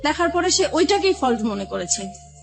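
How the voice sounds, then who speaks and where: Bangladeshi woman w high voice telling her life story in an interview, kinda halting, soft music under it. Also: big pitch swings, vocal fry. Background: background music.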